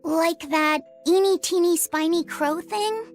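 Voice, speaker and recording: A timid young boy with a very high voice and unhurried delivery. He sounds earnest and gentle, speaking hesitantly in game dialogue. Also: soft background music, exaggerated voice. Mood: shy, hesitant. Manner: hesitant, shy. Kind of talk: video game voice acting.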